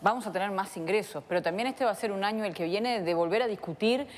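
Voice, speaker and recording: A woman on a TV panel offering a quick, opinionated comment. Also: mid-range voice, in a large hall. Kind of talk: panel discussion.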